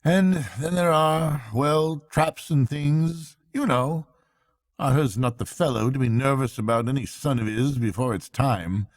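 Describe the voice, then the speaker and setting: A worried water rat from a bedtime storybook, acted out by a man. The voice is lifted high and confides gravely and earnestly, like a kind friend sharing troubles. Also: measured pace, close to an everyday voice. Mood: grave, anxious. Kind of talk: bedtime storybook character reading.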